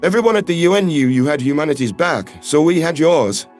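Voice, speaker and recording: A man's voice: on the high side, expressive, brisk, a little mellow, faint music behind, lightly acted. A man acting a loyal human astronaut in a sci-fi audio drama, speaking plainly and firmly. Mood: firm, earnest. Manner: plain-spoken, firm. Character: loyal, frank human male astronaut and diplomatic envoy.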